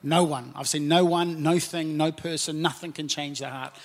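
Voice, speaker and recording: A New Zealand pastor in his forties gives a chatty sermon from the stage of a church. His voice sits a little high for a man, and he fills his phrases with easy "and, uh" pauses. He talks to the congregation as if in conversation. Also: fairly even intonation. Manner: warm, conversational preaching with frequent "and, uh" fillers. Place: church auditorium.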